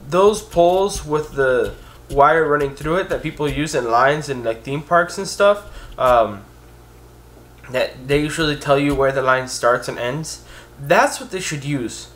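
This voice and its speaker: A young man with a fairly high voice talks straight to the camera in a casual vlog. He is earnest and animated, and his pitch climbs when he presses a point. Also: slow. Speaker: vlogger on blindness. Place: indoors, a green-screen backdrop of store photos.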